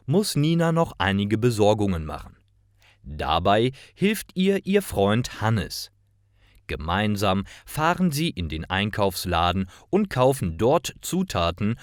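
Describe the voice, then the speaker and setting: Slow, low-pitched male story narrator. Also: dry recording, clean studio sound. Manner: deliberate, clearly articulated read-aloud. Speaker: narrator of German learners' stories. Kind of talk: slow scripted story narration for language learners.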